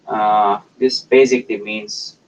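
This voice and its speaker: Slow, halting male language teacher. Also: on the low side, steady tone. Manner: hesitant, halting, with many pauses and fillers. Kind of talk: slow explanatory lecture. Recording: dull lo-fi recording.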